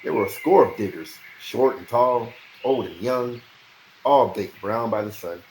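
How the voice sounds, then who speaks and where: Expressive male storyteller in an echoey room. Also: medium pitch, measured pace. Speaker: amateur audiobook reader. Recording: roomy echo, faint background noise.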